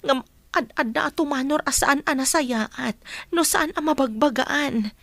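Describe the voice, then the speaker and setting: A woman playing a worried, loving middle-aged mother in a Filipino radio drama, with a concerned, gently lamenting manner. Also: high voice, a little slow, gravelly, dry recording, full character voice.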